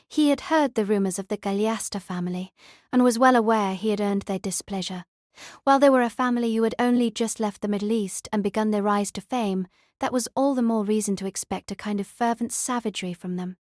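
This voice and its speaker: A woman narrates a fantasy novel as an audiobook. Her voice sits at a medium pitch, and the recording is dry with no room sound. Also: a little fast, darkish tone, no echo. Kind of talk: scripted story narration.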